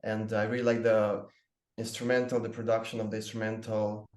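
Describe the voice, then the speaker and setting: A man from Moldova, a native Romanian speaker, chatting casually as a panelist and pausing often with fillers. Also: fairly low pitch, level tone, darkish tone, echoey room. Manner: hesitant, many fillers.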